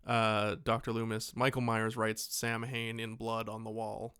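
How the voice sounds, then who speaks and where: A man's voice: on the low side, monotone, a little slow, a bit bright, smooth, no room sound, clean studio sound. A man co-hosting a casual movie podcast, telling things in a rambling, joking way with imagined bits of dialogue. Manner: joking, sarcastic asides. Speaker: podcast co-host.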